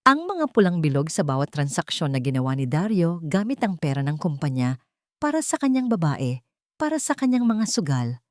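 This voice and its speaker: A woman narrating a dramatic audiobook story from a script in a deliberate, emotive storytelling manner. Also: low voice, very animated, a little mellow, dry recording, studio quality.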